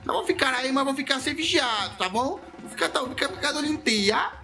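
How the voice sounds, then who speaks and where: A man voicing a suspicious older African king in a mock local accent, with a high, quiet, teasing delivery. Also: lively intonation, quick pace, faint music behind, exaggerated voice.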